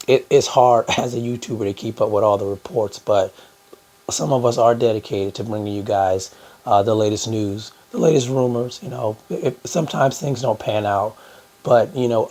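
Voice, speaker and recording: A hoarse man hosting a boxing news channel, talking casually to his viewers with lots of fillers. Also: fairly low pitch, darkish tone. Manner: conversational, many "uh" fillers, addresses "guys". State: hoarse from a cold. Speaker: boxing YouTube host.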